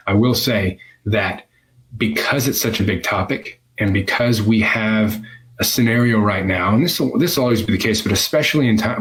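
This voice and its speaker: A man with a fairly low voice speaks as a business coach in a conversational instructional video. He leans on his key points, often repeating phrases for emphasis. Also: rough recording. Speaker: business coach for physical therapists.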